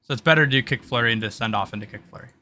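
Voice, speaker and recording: Male game master, casual rules talk, bright punchy voice with faint background noise. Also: mid-range voice, rapid-fire.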